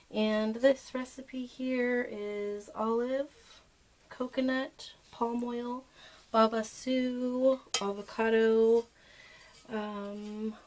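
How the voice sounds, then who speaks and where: Hoarse, froggy-voiced woman with gravelly fry. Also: a little high. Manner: relaxed, rambling, with many fillers. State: hoarse, froggy voice from being sick. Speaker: soap maker and channel host. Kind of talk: casual how-to demonstration.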